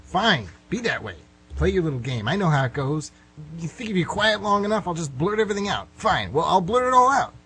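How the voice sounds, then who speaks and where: Man acting frustrated middle-aged arrestee, pleading, rambling, highish swooping pitch, amateur radio play, humming noisy recording. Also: very animated, fairly quick, sharp tone, exaggerated voice. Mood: exasperated, goading. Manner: rambling, agitated, backtracking. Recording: hum and clear background noise.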